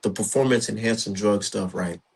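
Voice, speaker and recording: Man, 30s, casual podcast host, husky voice, heated and repetitive. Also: fairly low pitch, moderate pace. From Minnesota. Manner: animated, repetitive, heated ranting. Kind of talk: casual boxing talk.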